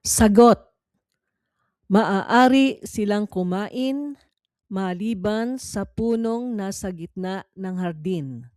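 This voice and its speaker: A woman reading a scripted Bible quiz in a measured, formal, instructional manner. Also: medium pitch, dark tone, no room sound, studio quality. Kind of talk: scripted read-along narration.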